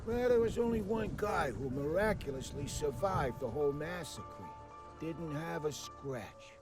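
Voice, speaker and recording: A man's voice: on the high side, lively intonation, measured pace. A man playing a slangy, streetwise 1940s gangster henchman who tells tales theatrically in a cartoonish video game. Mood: ominous storytelling. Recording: music behind the voice.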